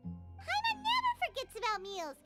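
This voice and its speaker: Squeaky, tiny, bubbly fairy companion. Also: female voice, wildly swooping pitch, moderate pace, full character voice. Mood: cheerful, eager. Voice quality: squeaky, childlike. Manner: bubbly, refers to herself in third person. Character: tiny chirpy childlike female fairy companion, food-loving, cheeky. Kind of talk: video game voice acting. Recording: loud music behind the voice.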